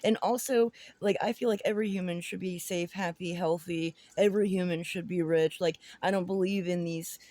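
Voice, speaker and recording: Woman vlogger just rambling her opinions, blunt and casual, mellow relaxed voice, bit of room sound. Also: lowish voice, normal speed, few pauses, no echo. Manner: blunt, informal, giggles often between phrases.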